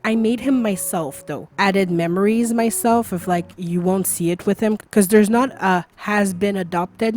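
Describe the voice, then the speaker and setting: A woman's voice: fairly low pitch, a little mellow. A woman chatting casually and rambling over gameplay, with lots of filler words and small laughs, while losing her voice. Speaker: video creator.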